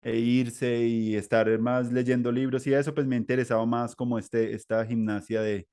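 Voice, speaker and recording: A man's voice: medium pitch, flat delivery, normal speed, mellow, clean tone. A man hosting an interview podcast, talking in a rambling way with many fillers and restarts. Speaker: podcast host. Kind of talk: conversational interview.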